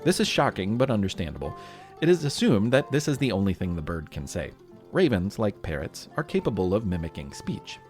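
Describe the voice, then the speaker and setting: An American man hosts a history podcast in a fairly low, very animated voice. He reads scripted narration like a storyteller addressing young listeners, with faint music underneath. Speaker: podcast host.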